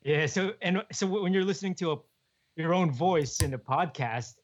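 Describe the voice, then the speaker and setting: A young man, co-hosting a podcast in relaxed, chuckling casual chat. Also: fairly high pitch, normal speed. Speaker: podcast co-host. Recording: dull lo-fi podcast audio.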